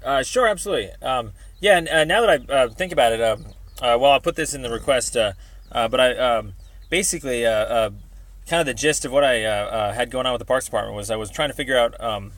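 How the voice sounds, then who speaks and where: A man's voice: medium pitch, fairly bright tone. An American man in a casual phone conversation as a caller, rambling and hesitant, with many ums. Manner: hesitant, rambling, halting delivery thick with ums. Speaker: member of the public calling a city department.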